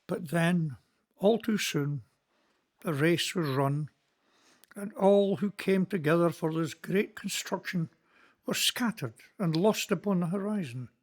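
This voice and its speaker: A man playing a Scottish Presbyterian minister. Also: on the high side. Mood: nostalgic. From Scotland. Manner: measured, sermon-like storytelling. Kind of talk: community radio play monologue.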